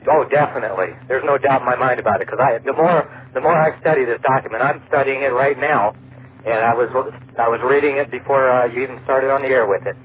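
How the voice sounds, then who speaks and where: A man's voice: mid-range voice, fast, a crowd in the background. An American man phoning in to give his opinion, hesitant and searching for words. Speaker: phone-in caller. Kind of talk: unscripted call-in opinion. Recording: noisy narrow phone line over shortwave radio.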